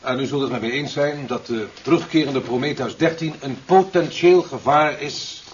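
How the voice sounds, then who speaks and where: A man acting as a stern, resolute president in a seventies radio drama. His delivery is solemn and commanding, like formal oratory, at a medium pitch. The sound is dull, with light hiss. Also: normal speed, close to an everyday voice. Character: stern, resolute male president addressing officials. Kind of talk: 1970s Dutch radio play acting. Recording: dull, hissy 1970s radio recording.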